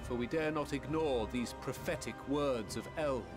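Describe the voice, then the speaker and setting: An actor playing a grave, authoritative statesman in a fantasy game, making solemn, measured formal oratory. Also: medium pitch, over music, lightly acted. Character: grave, authoritative male elder statesman addressing an assembly.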